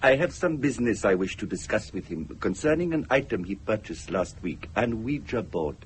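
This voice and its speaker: An actor playing a mysterious male fortune teller in a radio drama, formal, measured and probing, with a husky voice. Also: fairly low pitch, very animated, slight background noise, low-quality recording. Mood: polite, ominous.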